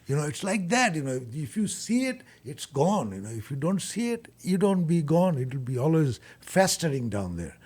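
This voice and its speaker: A man with a Bangladeshi accent speaks in a fairly high, noticeably husky voice. He explains things earnestly as an interview guest, stumbling and doubling back on his words as he goes. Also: sing-song, a little mellow. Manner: halting, repeats words, earnest. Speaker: guest, charity furniture bank leader.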